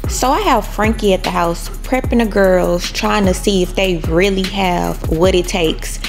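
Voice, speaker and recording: A woman with a middle pitch narrates as the show's creator in a plain, direct voice-over. Faint music plays behind her. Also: unhurried, creaky. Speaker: show creator and judge.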